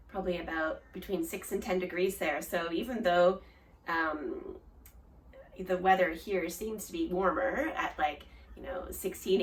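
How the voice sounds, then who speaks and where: A woman in her forties with an Australian accent hosts a knitting podcast, chatting casually with lots of ums and restarts, her voice a little hoarse from a cold. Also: lowish voice, far from the mic, slight background noise, poor audio. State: slightly hoarse, recovering from a cold. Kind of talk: casual show-and-tell chat.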